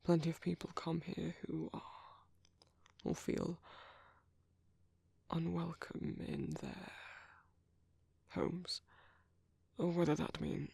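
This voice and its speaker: A man acts out a kindly vampire priest with a British accent, speaking very breathily with a gravelly fry. He is polite and hesitant, softly reassuring a stranger in a half-whisper. It is an intimate ASMR roleplay. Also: on the high side, level tone, slow, pauses often, no echo, exaggerated voice. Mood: gentle, hesitant. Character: gentle, caring male vampire priest sheltering a stranger. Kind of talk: soft-spoken ASMR roleplay.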